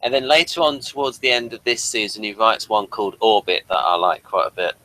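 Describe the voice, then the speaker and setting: Bright-voiced man chatting about TV. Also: lowish voice, brisk, poor audio. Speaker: review show co-host. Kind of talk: casual TV episode review discussion.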